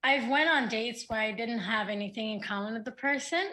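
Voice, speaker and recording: A woman from Algeria with an Oran Algerian accent, a guest chatting casually and telling stories in a rapid, animated way. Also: a little high, poor audio. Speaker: guest, YouTuber. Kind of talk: casual conversation.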